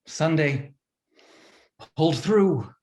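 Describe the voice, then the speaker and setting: A man's voice: fairly high pitch, expressive, extremely slow, a little mellow. A man playing Adam, a peevish first man who wants to be left alone, reading his diary in a complaining way over a video call. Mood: grumpy. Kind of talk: stage reading of a comic play.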